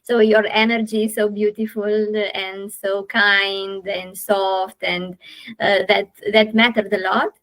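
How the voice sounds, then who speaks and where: A woman from Romania with a Romanian accent, hosting a podcast interview and talking slowly and thoughtfully, with many "uh" fillers. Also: mid-range voice, flat delivery, a bit bright. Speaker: podcast host. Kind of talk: casual interview chat.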